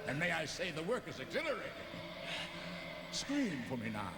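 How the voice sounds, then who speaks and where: A man's voice: a little high, huge pitch swings, moderate pace. An actor playing a gleeful, vengeful murderer in an audio drama, theatrical and taunting, who also narrates his own crime. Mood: vengeful exultation. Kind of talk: audio drama acting with first-person narration. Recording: loud music under the voice.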